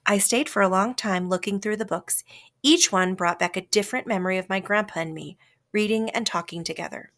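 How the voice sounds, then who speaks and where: A woman reading a children's story aloud as scripted narration, with a dramatic, expressive delivery that varies for effect. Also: medium pitch, a little fast. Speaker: storybook reader.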